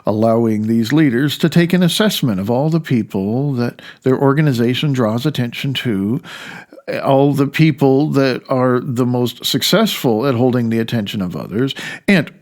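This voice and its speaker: A man's voice: medium pitch, moderate pace, a little mellow. A man reading his own audiobook, with a dry, wry manner and rambling asides.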